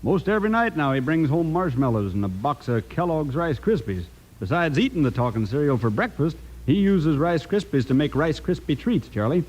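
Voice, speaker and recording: Folksy radio-ad cowpoke. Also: male voice, on the high side, very animated, normal speed, darkish tone, poor audio. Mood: friendly, selling. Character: folksy cowpoke in a cereal advertisement. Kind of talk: scripted 1950s radio ad.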